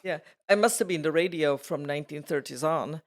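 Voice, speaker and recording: A woman's voice: sits low, brisk. An older woman with a layered Slovak, Swedish and English accent, a Slovak native speaker, talking in a thoughtful, digressive way as an interview guest who laughs easily. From Czechoslovakia. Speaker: interviewee, retired program editor. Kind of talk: casual reflective conversation.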